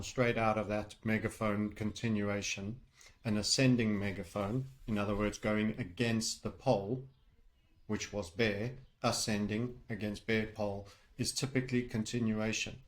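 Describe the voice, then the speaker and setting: A man talking through technical analysis off the cuff as a trading educator, rambling and heavy on jargon with colourful asides. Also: lowish voice. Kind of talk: unscripted technical chart commentary.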